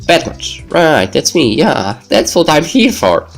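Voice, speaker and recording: A man's voice: on the high side, very animated, measured pace, creaky, soft background music, pitched above the performer's own voice, heavily acted. A man voicing a hesitant, resigned young male pro gamer in a visual novel. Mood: awkward.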